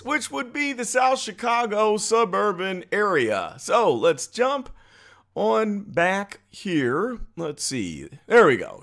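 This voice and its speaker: Male American commentary host, middle-aged, slangy, very lively intonation. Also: fairly high pitch. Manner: animated, slangy, amused. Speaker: political commentary video host. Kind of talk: casual reaction commentary.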